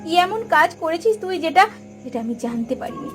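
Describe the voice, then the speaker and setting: Woman acting a high-pitched, emotional village elder sister. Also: expressive, fairly quick, over music. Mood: scolding. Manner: emotional, pleading and scolding. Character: burdened, caring eldest sister running a poor village household. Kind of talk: melodramatic cartoon voice acting.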